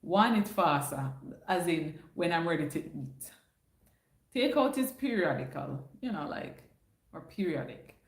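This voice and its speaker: A woman's voice: fairly low pitch, lively intonation, measured pace. A woman with a Jamaican accent hosting a casual livestream, chatting through viewers' questions and slipping into patois phrasing. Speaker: livestream host answering chat. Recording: roomy echo.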